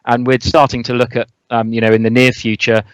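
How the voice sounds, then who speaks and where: A man speaking as an expert interview guest, explaining things in a measured, conversational way. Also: medium pitch, level tone, clear, resonant tone, no room sound, rough recording. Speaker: air traffic controller, interview guest.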